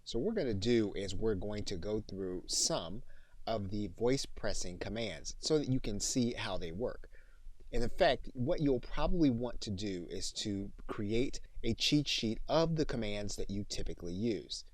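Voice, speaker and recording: A man narrating an instructional software tutorial as a trainer, in an explanatory way. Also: on the low side, expressive, measured pace, no room sound.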